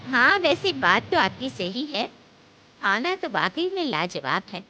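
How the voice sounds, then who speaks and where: Woman putting on a high, fussy older mother-in-law. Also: big pitch swings, measured pace, bright, forceful tone, a little hiss or hum, exaggerated voice, rough recording. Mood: grudging. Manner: exclamatory, scolding. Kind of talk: cartoon moral-story acting.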